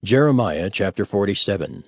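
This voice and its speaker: A man's voice: on the low side, animated, moderate pace, no room sound, clean studio sound. A man reading chapter headings and scripture in a formal, scripted style.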